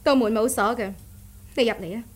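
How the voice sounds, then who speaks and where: An actress playing a guarded, stubborn martial-arts aunt in a radio drama, speaking firmly as a stern elder. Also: female voice, on the high side, brisk, lightly acted. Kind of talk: Cantonese radio drama acting.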